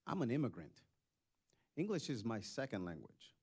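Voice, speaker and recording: A man's voice: medium pitch, sing-song, moderate pace, a little mellow, husky. Emphatic man, native Spanish speaker. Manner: emphatic, direct. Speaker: board candidate. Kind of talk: candidate forum answers.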